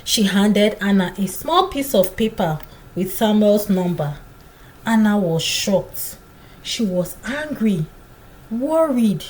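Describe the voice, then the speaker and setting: A woman narrating a scripted folktale in a dramatic, deliberate storytelling manner. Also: medium pitch, a little mellow, a little hiss or hum, low-quality recording.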